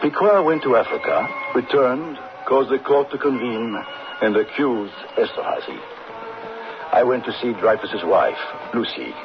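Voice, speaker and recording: A crusading, indignant writer in an old radio drama. His pitch swings widely as he declaims in theatrical, emphatic phrases. The recording is dull and lo-fi, with music clearly behind him. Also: animated, unhurried. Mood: narrating. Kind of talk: radio drama acting, part dialogue and part narration.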